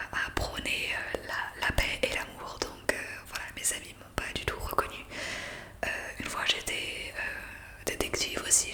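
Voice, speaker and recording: Woman whispering an ASMR roleplay. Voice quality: breathy, unvoiced whisper. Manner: hesitant, halting, softly explanatory. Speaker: ASMR creator playing a counsellor for new zombies.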